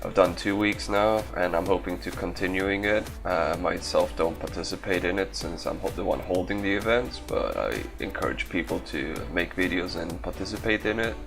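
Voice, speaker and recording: Low, gravelly man doing game commentary. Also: moderate pace, hardly any pauses, over music. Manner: offhand, casual swearing. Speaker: gaming video creator and event organiser. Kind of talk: casual gameplay commentary.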